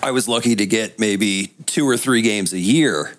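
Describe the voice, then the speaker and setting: A man's voice: on the low side, normal speed. A man in his twenties from Maryland, chatting casually as a podcast panellist. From Maryland, United States. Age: 20s. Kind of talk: casual chat.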